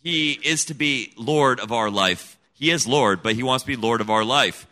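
A man's voice: mid-range voice, normal speed, pushed and bright. A middle-aged man raised in Senegal, giving an earnest, emphatic personal testimony as an interview guest. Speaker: guest, missionary kid.

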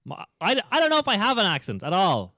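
Giggly young Dublin man, lively voice. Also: high voice, fast talker, dry recording, clean studio sound. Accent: Dublin Irish with an American tinge. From Ireland. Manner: chatty, giggly, animated storyteller. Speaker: podcast co-host. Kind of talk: casual podcast chat.

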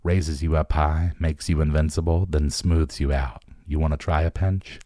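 A young male drug dealer from a noir story, acted out by a man reading aloud, wary and quick to push the sale. The voice sits extremely low and dark, with slangy, defensive phrasing in a dry, close sound. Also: fairly even intonation, dry recording. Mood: hasty sales pitch. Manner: slangy, hasty salesman talk. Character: wary nineteen-year-old male street drug dealer, pushy and defensive.

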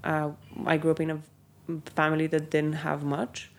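Low-voiced young woman, chatty founder. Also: completely flat delivery. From Bulgaria. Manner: chatty, many ums and likes, quick asides. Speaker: company founder. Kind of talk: casual interview.

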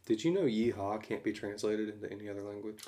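A laid-back man chatting on a podcast, his voice on the low side. He rambles through his thoughts with easy chuckles. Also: little pitch movement, quick pace, dark tone, a little hiss or hum. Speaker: podcast co-host. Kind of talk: casual chat.

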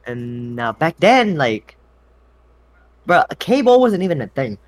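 A man's voice: fairly high pitch, big pitch swings, slow pace. A man from Vietnam in casual chat, playful and jokey with his co-host.

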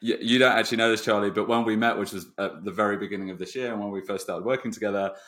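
A man's voice: on the low side, fairly even intonation, racing, fairly bright tone. A man hosting a podcast in casual, jokey interview chat, with effusive, informal talk. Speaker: podcast host.

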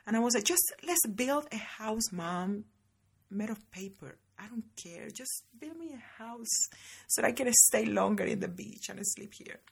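A woman, hosting a podcast and telling her life story in a chatty, lively way, often calling her listeners guys. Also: a little high, unhurried, creaky, husky. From Cuba. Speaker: podcast host. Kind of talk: casual personal storytelling.

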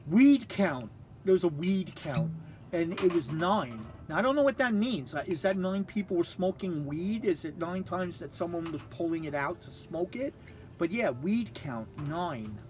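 A man's voice: high-pitched, sing-song, normal speed, darkish tone, slight background noise. A man, co-hosting a podcast in casual chat, reading notes aloud bluntly and with plenty of swearing. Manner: blunt and profane, mimics voices when retelling stories. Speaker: podcast co-host.

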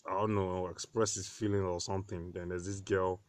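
A man with a fairly low voice chatting casually on a podcast, excitable and quick to tease, swearing often. His voice is recorded close and dry, with little room sound. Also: no echo. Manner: excitable, swears freely, teasing. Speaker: podcast co-host. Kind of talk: casual chat.

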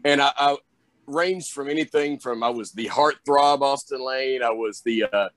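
A young man with a Southern drawl talks loosely through an interview, circling back with plenty of "you know"s. His voice sits at a middle pitch, and the sound is dry and close with no room around it. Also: normal speed, fairly bright tone, no room sound. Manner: rambling, lots of fillers, drawling. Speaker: wrestler guest. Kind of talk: casual interview chat.

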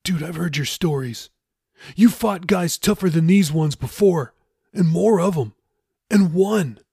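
A man's voice: sits high, unhurried, gravelly, mellow, husky, dry recording, studio quality, voice pushed up, heavily acted. A man voicing a desperate young male captive sailor in an audiobook, pleading and agitated in slangy speech. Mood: nervous, insistent.

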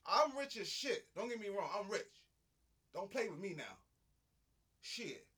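A young man with a high, lively voice that swings up and down. He talks casually and crudely with a lot of animation, and he often breaks into snatches of song. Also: a little slow, slightly rough. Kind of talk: casual chat.

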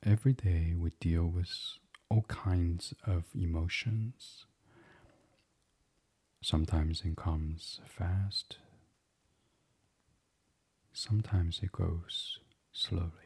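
A man guiding a bedtime meditation as a podcast host, speaking softly and soothingly in an unhurried, hushed, breathy way. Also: low voice, steady tone. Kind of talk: guided meditation, gentle bedtime reading.

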